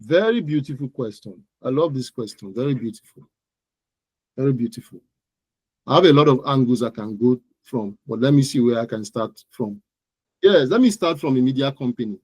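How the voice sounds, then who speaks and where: A man's voice: mid-range voice, expressive, normal speed, a little mellow, husky, no echo. A man with a Nigerian accent giving interview answers as an expert guest, explaining things emphatically and often repeating words and phrases. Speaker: guest digital marketing expert.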